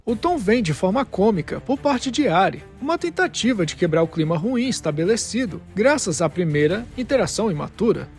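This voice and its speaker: A man with a high voice narrates a dramatic story. He reads scripted prose as an audio drama narrator, with soft music underneath. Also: very animated, brisk, few pauses.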